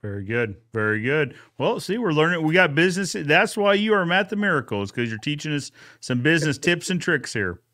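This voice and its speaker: A man's voice: on the high side, very animated, a little fast, few pauses, bright, forceful tone. A man hosting a casual conversation in an agreeable, jokey way. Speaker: podcast host. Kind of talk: casual chat.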